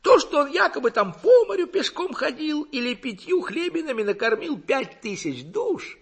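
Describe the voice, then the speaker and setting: A man's voice: extremely high pitch, very animated, moderate pace, a bit bright, pitched above the performer's own voice, heavily acted. A man voicing a pompous, ignorant village lecturer in an audiobook, with a gurgling, throaty voice and affected, self-assured oratory. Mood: smug, gushing. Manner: affected, gushing, self-assured oratory in clumsy learned words. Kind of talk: audiobook character acting.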